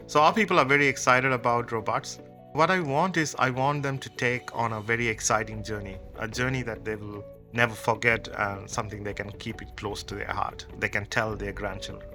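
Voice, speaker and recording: A man speaks in a low, gravelly yet bright voice. He answers interview questions thoughtfully and conversationally, explaining ideas through examples. Soft music plays underneath. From Sri Lanka. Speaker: company co-founder.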